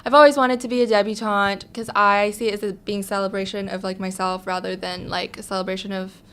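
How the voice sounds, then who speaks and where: A young woman from Hong Kong being interviewed, answering softly with frequent ums and small laughs. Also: mid-range voice, level tone, a little fast, a little hiss or hum. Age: young adult. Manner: soft-spoken, frequent ums and little laughs. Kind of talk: casual interview.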